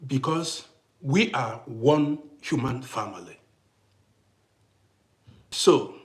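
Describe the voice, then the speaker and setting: A man hosting a casual livestream who greets viewers by name, repeats himself, and swings between loud bursts and quiet asides. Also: fairly high pitch. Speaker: livestream host.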